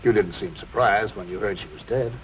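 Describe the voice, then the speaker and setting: An actor playing a calm police captain in 1940s film noir style, questioning in a measured, politely insistent way. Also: male voice, on the low side, dull audio, slight background noise, natural voice. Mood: coolly probing.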